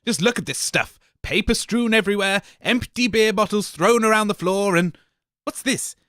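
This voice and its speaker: Pompous, theatrical comedy co-host. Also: male voice, high voice, normal speed, fairly bright tone, dry recording, studio quality. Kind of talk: scripted comedy banter.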